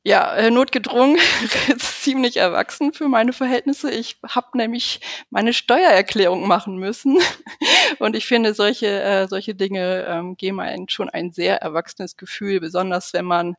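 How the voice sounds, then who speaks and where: Husky-voiced woman in her forties, hesitant. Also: mid-range voice, a little slow, dry recording, clean studio sound. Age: 40s. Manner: thoughtful, hesitant with many fillers, laughs often. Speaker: podcast guest. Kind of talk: casual podcast interview.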